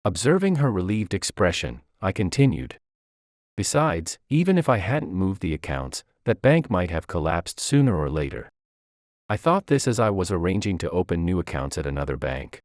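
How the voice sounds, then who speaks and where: A man's voice: deep voice, sing-song, moderate pace, a little mellow, no room sound, clean studio sound. A man reading a scripted first-person story as its narrator.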